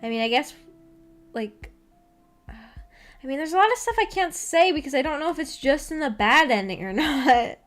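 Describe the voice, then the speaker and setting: A woman with a fairly high voice hosts a casual livestream. She rambles along in a giggly, self-deprecating way as she chats with her viewers. Soft music plays faintly behind her. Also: animated, normal speed, long pauses. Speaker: VTuber streamer and host.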